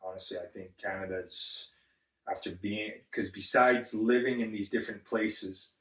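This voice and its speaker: A man born in Zambia, a guest on an interview, speaking earnestly and haltingly with many restarts. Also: fairly low pitch, fairly even intonation, a bit bright, far from the mic. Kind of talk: casual interview. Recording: echoey room, set back from the mic.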